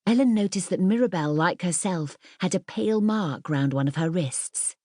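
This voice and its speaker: A woman reading a children's story as an audiobook narrator, in an expressive, scripted storytelling style. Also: lowish voice, normal speed, no room sound, clean studio sound.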